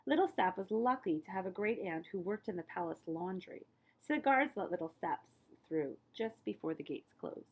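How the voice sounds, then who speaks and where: A woman reading a children's story aloud as a librarian, with expressive emphasis and phrases that often fall hushed. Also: medium pitch, normal speed, low-quality recording.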